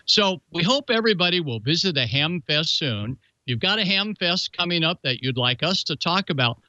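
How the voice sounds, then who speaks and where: A man's voice: a little high, expressive, normal speed, sharp tone, no echo, lo-fi sound. A man hosting a show and presenting amateur radio club news in a folksy, jokey announcer's way. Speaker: show host.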